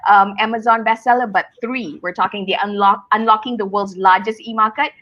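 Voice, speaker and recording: A woman hosting a live online interview, chatty and lively, laughing often. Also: mid-range voice, a little fast, dull audio. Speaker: host.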